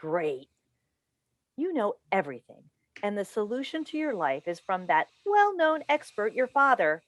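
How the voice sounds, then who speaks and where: A woman's voice: mid-range voice, sing-song, normal speed, close to an everyday voice. A woman playing a worried, exasperated mother in a dramatic play reading, pleading and emphatic. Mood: sarcastic, hurt. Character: worried, exasperated mother of a brilliant, troubled son. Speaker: stage actor in a play reading. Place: video call, remote staged reading. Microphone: video call microphone.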